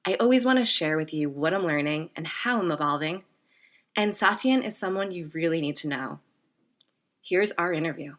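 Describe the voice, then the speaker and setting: A woman's voice: low-pitched, expressive, normal speed. A woman hosting a podcast, reading a poetic intro and asking admiring questions. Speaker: podcast host. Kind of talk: scripted intro and interview.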